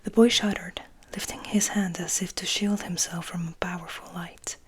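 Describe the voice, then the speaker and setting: A woman reading a story aloud in a gentle, hushed, breathy ASMR whisper. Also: fairly low pitch, steady tone, moderate pace.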